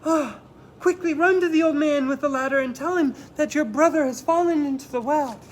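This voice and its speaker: A man's voice: extremely high pitch, a little fast, slight background noise, voice pushed up, poor audio. A man voicing a stern, impatient mother in a storybook, speaking formally to her child. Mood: alarmed. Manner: scolding, formal old-fashioned wording. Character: stern, impatient, honorable mother of young boys.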